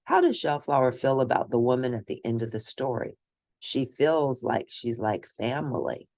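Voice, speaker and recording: A woman reading a children's story aloud and discussing it in an expressive, teacherly manner. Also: very deep voice, moderate pace, gravelly, no room sound, studio quality. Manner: expressive, teacherly, asks questions of listeners. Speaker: storybook reader.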